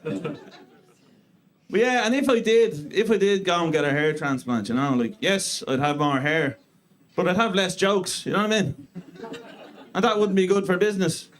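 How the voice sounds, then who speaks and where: A man in his thirties with an Irish accent, doing a deadpan, self-mocking stand-up set in a comedy club. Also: high voice, normal speed. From Ireland. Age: 30s. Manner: deadpan self-mocking delivery with crude punchlines. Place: comedy club stage before a live audience.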